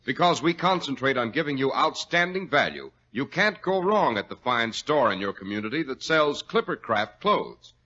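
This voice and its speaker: A man announces in persuasive 1940s radio advertising style. His polished delivery is bright and lively, with some rasp. The recording is old and dull. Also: medium pitch, moderate pace, hardly any pauses.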